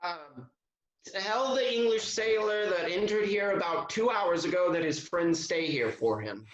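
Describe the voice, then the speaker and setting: Man acting sardonic young gentleman, stage comedy, animated intonation, mocking, roomy echo. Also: sits high, measured pace, lo-fi sound. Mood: wry, impatient.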